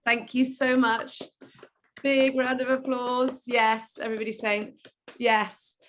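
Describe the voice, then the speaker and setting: A woman moderating an online event on a video call, praising a speaker effusively and often trailing off softly. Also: a little high, flat delivery, takes it slowly, slight background noise. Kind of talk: enthusiastic video call hosting.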